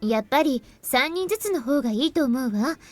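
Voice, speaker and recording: A woman playing a dependable, composed older schoolgirl who gently takes charge in an anime visual novel. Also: sits high, expressive, racing, dry recording. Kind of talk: Japanese visual novel voice acting. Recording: music under the voice.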